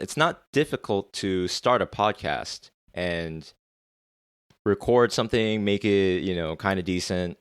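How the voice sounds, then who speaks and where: A man's voice: sits low, dry recording, clean studio sound. A young adult man hosting a solo podcast, talking about his life in a candid, earnest way and swearing now and then. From United States. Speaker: podcast host. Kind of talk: solo reflective podcast monologue.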